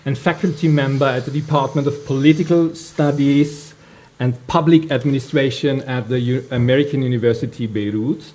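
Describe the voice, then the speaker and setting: A man giving a formal panel introduction as chair, in a halting, deliberate way. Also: medium pitch, a little mellow. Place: public panel event in a library.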